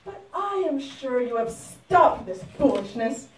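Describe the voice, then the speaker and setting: A woman's voice: high-pitched, sing-song, measured pace, darkish tone, a little hiss or hum. A woman voicing a furious, boastful demon king and father in a puppet show, with commanding, theatrical delivery. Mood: stern, smug. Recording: echoey room, far from mic.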